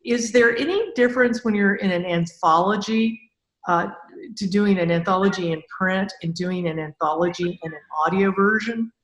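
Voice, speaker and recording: A woman interviews a guest in a casual, conversational way. Her voice sits at a medium pitch and sounds roomy and echoing. She often rewords her thoughts mid-sentence. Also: moderate pace. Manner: informal, loosely rephrasing as they go. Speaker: podcast interviewer.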